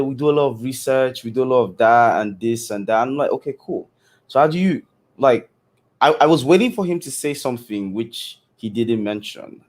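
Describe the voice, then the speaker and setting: A man from Nigeria giving a casual keynote on a video call, loose and full of fillers. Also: mid-range voice. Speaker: keynote speaker. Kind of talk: online conference talk.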